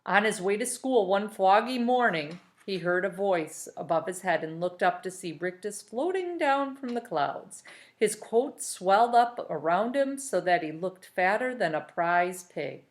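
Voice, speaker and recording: A woman reading a storybook aloud as a teacher, in an expressive narrating style. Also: medium pitch, unhurried.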